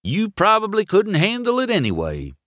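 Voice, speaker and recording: A man's voice: sits high, wildly swooping pitch, moderate pace, a bit bright, no room sound, studio quality, voice pushed up, exaggerated voice. A man voicing a goofy, friendly male tow truck in a children's storybook, chattering in a folksy, rambling way. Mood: goading.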